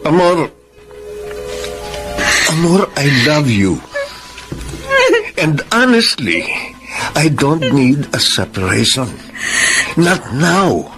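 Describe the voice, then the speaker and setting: A man's voice: fairly high pitch, big pitch swings, extremely slow, long pauses, music in the background, full character voice. A man with a Filipino accent playing a coaxing, evasive middle-aged husband in a radio drama, with a dramatic delivery. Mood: evasive insistence. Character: Arman, neglectful husband, coaxing yet evasive. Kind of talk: Tagalog radio drama acting with English mixed in.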